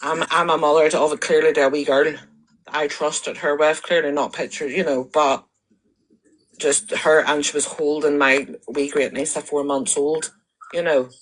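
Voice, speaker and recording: A woman's voice: low voice, flat delivery, moderate pace, low-quality recording. A woman with a Northern Irish accent, talking to viewers on a live stream in an indignant, accusatory way and repeating herself as she builds her point. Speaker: livestreamer.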